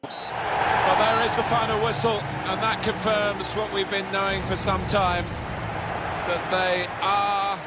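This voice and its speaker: An excited football commentator, calling the game in a high voice. Very loud stadium ambience surrounds him. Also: male voice, takes it slowly, heavy background noise. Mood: celebratory. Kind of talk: sports commentary.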